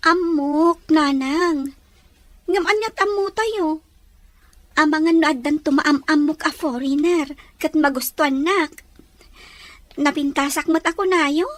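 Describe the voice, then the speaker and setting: Very high-pitched young Ilocano dreamer girl played by a woman. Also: a little slow, long pauses, dry recording. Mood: determined, worried. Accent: Ilocano Filipino. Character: ambitious poor young Filipina, sixteen, dreaming of marrying a foreigner. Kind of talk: Ilocano audio drama acting.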